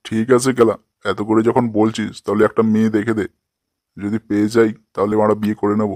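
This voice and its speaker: A man's voice: lowish voice, flat delivery, fast talker, darkish tone, no echo, natural voice. A man playing a grumpy, lonely old grandpa in a comic cartoon, complaining and swearing coarsely. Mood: hopeful, bargaining.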